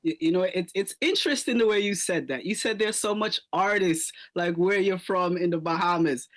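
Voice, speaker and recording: High-voiced upbeat radio host man. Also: moderate pace, a bit bright. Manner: upbeat, stumbles and repeats words. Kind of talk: radio interview.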